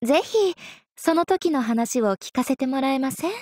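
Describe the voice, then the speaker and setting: An actress voicing a young sword maiden in an anime mobile game, polite and coy, with a teasing, possessively sweet manner. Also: female voice, high-pitched, lively intonation, normal speed, a little mellow, no echo, studio quality. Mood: polite, languid. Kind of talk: Japanese anime mobile game character lines.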